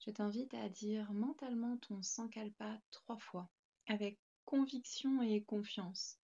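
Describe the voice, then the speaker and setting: A woman guiding a yoga nidra meditation in a calm, gentle, soothing manner with spaced instructions. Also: medium pitch, measured pace, lo-fi sound.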